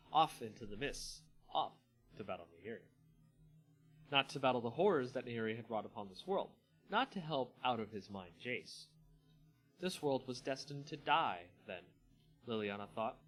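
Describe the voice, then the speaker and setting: A man's voice: medium pitch, fairly bright tone, low-quality recording. A man hosting a livestream, moving between casual chat and dramatic story reading. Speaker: channel host and story reader. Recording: music playing behind the voice. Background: background music.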